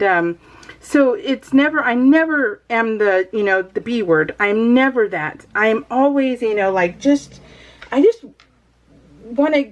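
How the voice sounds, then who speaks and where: American lady vlogger, middle aged, kinda high voice, chatty and rambly, emphatic storytelling with exasperated asides. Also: dull audio. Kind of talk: casual chatty personal storytelling.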